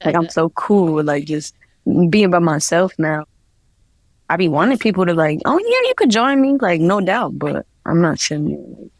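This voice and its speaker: A woman's voice: on the low side, big pitch swings, moderate pace, dry recording. Young jersey woman rambling in an interview, lots of "like", dry mic. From New Jersey, USA. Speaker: guest artist. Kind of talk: casual interview talk.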